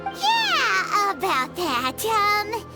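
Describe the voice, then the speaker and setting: A woman voicing a tiny, childlike, excitable fairy companion in a video game, with a squeaky, chirpy delivery. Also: very slow, over loud music, heavily acted. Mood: hesitant. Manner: squeaky, chirpy, refers to self by name. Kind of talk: video game cartoonish acting.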